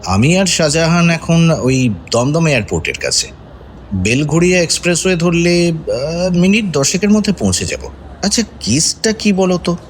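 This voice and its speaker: An actor playing a composed senior detective who asks probing questions conversationally in an audio crime drama. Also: male voice, on the high side, sing-song, normal speed, slight background noise. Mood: casual, persuasive. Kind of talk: Bengali radio detective drama acting.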